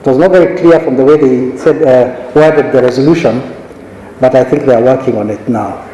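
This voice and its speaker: A middle-aged man from Kenya, a judge, giving a measured, anecdotal conference talk with frequent restarts. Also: mid-range voice, steady tone, dark tone, a little hiss or hum. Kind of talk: conference speech. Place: large conference hall. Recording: echoey hall recording.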